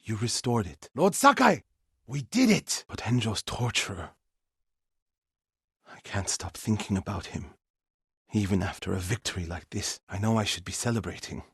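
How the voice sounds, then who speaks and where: A devout warrior monk for a video game. His voice is fairly low and husky, recorded close and dry. He speaks formally and earnestly, with solemn loyalty and the weight of grief. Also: big pitch swings, normal speed, fairly bright tone, dry recording, full character voice. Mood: triumphant, then troubled, humble. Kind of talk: dramatic video game dialogue.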